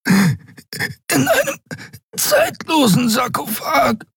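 A man voicing a frightened young man who is being choked, pleading in thin, halting, breathless phrases in an audiobook. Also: extremely high pitch, lively intonation, creaky, fairly bright tone, breathy edge, no room sound, clean studio sound, voice pushed up, exaggerated voice. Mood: weak, pleading. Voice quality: thin, strained, gasping voice.

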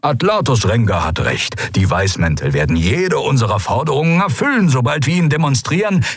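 A man's voice: mid-range voice, sing-song, fairly quick, breathy edge, no room sound, clean studio sound, voice pushed up. A man voicing a calculating, tailed creature who serves as a minister, speaking in a cool way. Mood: scheming.

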